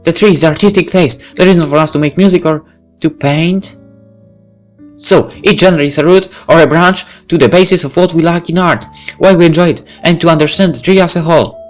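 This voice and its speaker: Visual novel role: shy, earnest teenage schoolgirl, hesitant and high-voiced played by a man. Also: brisk, soft background music, close to an everyday voice. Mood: earnest, embarrassed. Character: shy, earnest teenage girl who loves music.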